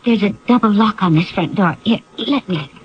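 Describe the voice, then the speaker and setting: An earnest, worried woman played by an actress confides in a medium-pitched voice with loyal concern. It is dramatic 1970s radio acting, heard in a muffled, lo-fi broadcast. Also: moderate pace, slight background noise, natural voice. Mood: uneasy. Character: anxious, loyal woman confidante of a troubled household.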